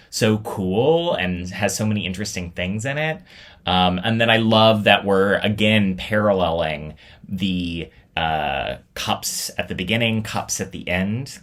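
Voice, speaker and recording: A man with a low-pitched but bright, forward voice speaks as a show host. He chats casually and reflectively, leaning on words with expressive, drawn-out emphasis. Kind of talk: casual reflective vlog talk.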